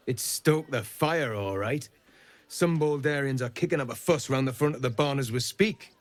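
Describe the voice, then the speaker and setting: A man's voice: medium pitch, close to an everyday voice. An actor playing a folksy city guardsman with simmering resentment in a fantasy video game. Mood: grumbling, resentful. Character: city guardsman, hearty surface, seething bloodthirsty resentment. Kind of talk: video game character acting. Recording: music behind the voice.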